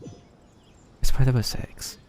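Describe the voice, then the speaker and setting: A man's voice: slow pace, darkish tone, a little hiss or hum. A man giving casual live game commentary, thinking aloud in a tentative way. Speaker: game streamer. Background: game music.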